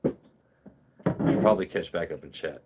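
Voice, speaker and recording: A man's voice: low-pitched, slow pace, dull audio, heavy background noise. A man in his thirties hosting a casual livestream, talking bluntly with lots of swearing and rambling asides. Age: 30s. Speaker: whiskey channel host.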